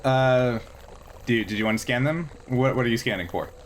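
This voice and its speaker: A man's voice: medium pitch, moderate pace, low-quality recording. Man refereeing a tabletop game, faint background noise. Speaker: game master.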